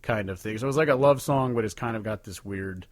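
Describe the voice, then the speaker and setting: A man's voice: lowish voice, brisk, no echo. A man co-hosting a podcast, chatting casually about songs in a rambling, profane way. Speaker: podcast co-host reviewing songs. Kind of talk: casual chat.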